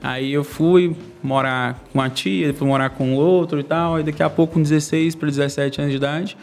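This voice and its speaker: A young man from Brazil, a podcast guest, telling his life story in a fast, slangy, candid way. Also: fairly high pitch, fairly even intonation. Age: young adult. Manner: fast, slangy, candid, laughs between lines. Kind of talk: casual storytelling interview.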